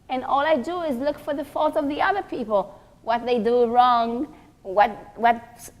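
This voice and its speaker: High-pitched woman giving a deliberate religious lecture. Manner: deliberate, emphatic, pausing between short phrases. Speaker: religious teacher giving a class for women. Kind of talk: religious lecture with rhetorical questions.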